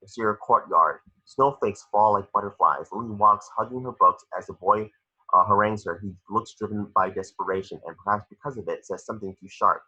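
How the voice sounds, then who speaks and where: A man's voice: lowish voice, fairly quick, bright, forceful tone, slightly rough, dull audio. A man reading scripted screenplay stage directions as narrator of a table read.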